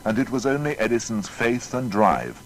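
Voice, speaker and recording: A man's voice: mid-range voice, very animated, normal speed, slight background noise, poor audio. A man narrating a scripted biography in a dramatic, rhetorical manner.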